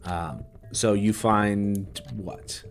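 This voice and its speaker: Lowish voiced guy game mastering, casual and kinda halting, faint music behind. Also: fairly even intonation. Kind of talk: casual improvised tabletop game narration.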